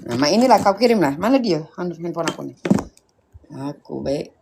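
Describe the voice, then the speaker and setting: A woman's voice: lowish voice, lively intonation, fairly quick, vocal fry. Woman chatting casually over faint room noise. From Indonesia, Sundanese background. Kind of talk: casual chat.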